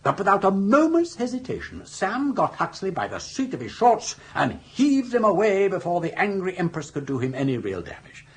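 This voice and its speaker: An actor playing a suave, roguish older gentleman with an upper-class English accent, speaking in flowery, persuasive phrases in a British radio comedy. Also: high-pitched, wildly swooping pitch, moderate pace, hardly any pauses, husky, full character voice. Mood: earnest, persuasive.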